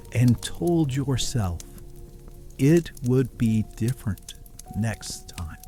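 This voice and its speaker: A man playing a ruthless, coldly reflective villain in a dark fantasy ASMR monologue, reading his letter in measured, deliberate phrases with short pauses. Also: mid-range voice. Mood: brooding, reproachful. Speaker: writer and performer of an audio series. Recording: music under the voice.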